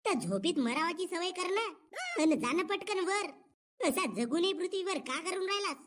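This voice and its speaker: A man's voice: very high-pitched, lively intonation, normal speed, a bit bright. A man with a rural Maharashtrian accent voicing a cheeky, insulting young brother-in-law in a comedy cartoon, mocking and scolding.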